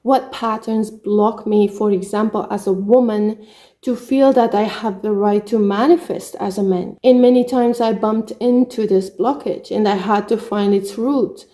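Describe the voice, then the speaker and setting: A woman's voice: medium pitch, steady tone, measured pace, dark tone. A middle-aged woman with a Hungarian accent, telling her own story as a spiritual coach in earnest short phrases. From Romania. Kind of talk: personal story monologue.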